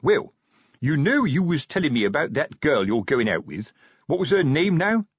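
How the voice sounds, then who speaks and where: A man's voice: on the high side, very animated, normal speed, slightly rough, no room sound, muffled recording, voice pushed up. A man voicing a pompous, fatherly older police sergeant in an audiobook, hesitant and prudish in his colloquial talk. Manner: hesitant, self-important, colloquial working-class phrasing. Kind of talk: audiobook character dialogue.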